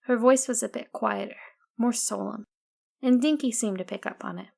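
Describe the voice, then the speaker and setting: Female audiobook narrator for first-person fiction, higher register with noticeable vocal fry. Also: moderate pace, a little mellow.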